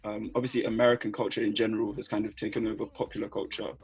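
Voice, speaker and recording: A man's voice: lowish voice, vocal fry, soft background music. A young man hosting a podcast, chatting casually and retelling stories with slang. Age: young adult. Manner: fast, animated, retells friends' quips with slang. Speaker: podcast host. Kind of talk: casual chat.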